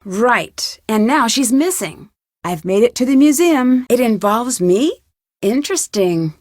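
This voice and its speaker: A young American woman voicing a polite, inquisitive amateur detective in a scripted adventure game, composed and clearly spoken. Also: on the high side, lively intonation, unhurried, a little mellow, no echo, studio quality. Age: young adult.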